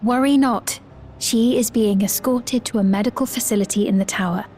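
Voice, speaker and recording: Actress as a gentle, high-voiced regal woman. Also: slight background noise, close to an everyday voice. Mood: composed, reassuring. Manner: formal, measured, softly reassuring. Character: gentle, composed, regal woman leader, soothing and consoling. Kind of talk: dramatic video game cutscene acting.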